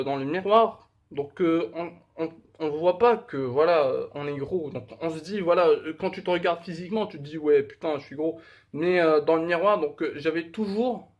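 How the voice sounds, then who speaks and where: A man's voice: a little high, highs cut off. A young man from Morocco talking casually in a vlog, hesitant, with many fillers and restarts, candid and self-deprecating. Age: young adult. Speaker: fitness vlogger.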